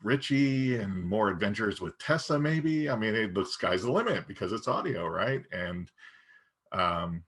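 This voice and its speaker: A man's voice: on the low side, lively intonation, a little slow, fairly bright tone, breathy edge. A man co-hosting a podcast in casual, fan-to-fan conversation. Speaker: podcast co-host.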